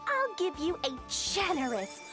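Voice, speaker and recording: A woman's voice: very high voice, sing-song, unhurried, heavily acted. An actress playing a scheming, greedy merchant woman with a wheedling sales patter, for a video game. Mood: smug. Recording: game music behind the voice.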